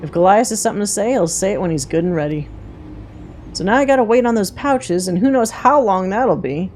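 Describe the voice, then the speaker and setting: A woman's voice: mid-range voice, animated, brisk, a little mellow, slight background noise, lightly acted. Woman voicing a folksy plainspoken female hunter. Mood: steady, protective. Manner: folksy drawling diction, dropped grammar.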